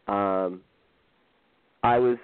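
A man in his fifties, an author being interviewed, talking casually and self-deprecatingly with frequent pauses and ums. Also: lowish voice, fairly even intonation, smooth, dry recording. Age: 50s. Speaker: author guest. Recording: tinny phone-line sound, dry.

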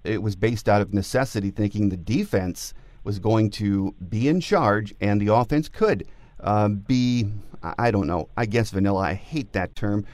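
An American man with a fairly low voice hosts a sports podcast. His talk is casual and conversational. The sound is dry, with very little room around it. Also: moderate pace, a little mellow, dry recording. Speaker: podcast host.

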